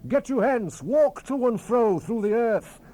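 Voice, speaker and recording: Man acting a solemn angel, loud and swelling. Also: very high voice, animated, measured pace, pitched above the performer's own voice. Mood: commanding. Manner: declamatory, grave. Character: solemn, authoritative angel messenger explaining visions. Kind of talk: dramatized scripture recording. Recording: hissy 1970s cassette rip.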